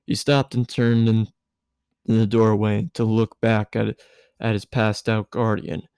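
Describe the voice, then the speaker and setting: Slow, mellow man reading a story. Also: lowish voice, flat delivery, gravelly, clean tone, no room sound, clean studio sound. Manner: halting, stumbling over words. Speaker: fan fiction reader. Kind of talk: story narration read aloud.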